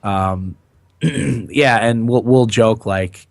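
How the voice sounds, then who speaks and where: A man with a raspy, hoarse voice that is recovering, chatting casually and a bit haltingly as a podcast host. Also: on the low side, dry recording, clean studio sound. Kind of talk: casual chat.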